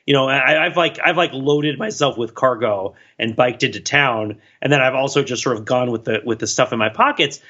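Male co-host for casual podcast talk, bright and forward tone, medium pitch, somewhat lo-fi audio. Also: brisk, hardly any pauses. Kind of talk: casual conversational podcast discussion.

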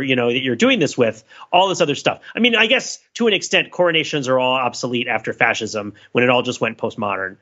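A man with a sharp, bright voice discusses ideas as a podcast panelist. He phrases things in a wordy, intellectual way and keeps checking in with listeners. The track sounds slightly dull and lo-fi. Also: on the high side. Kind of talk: casual analytical discussion.

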